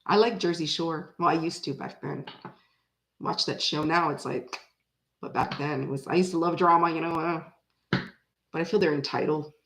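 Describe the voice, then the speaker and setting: A woman in her forties, raised in Florida and bilingual in French, with an American accent. She chats casually with live viewers, rambling and breaking into sudden animated bursts. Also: sits low, moderate pace, gravelly, pauses often, echoey room. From Canada, raised in Florida. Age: 40s. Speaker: tarot reader chatting with live viewers. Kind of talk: casual livestream chat.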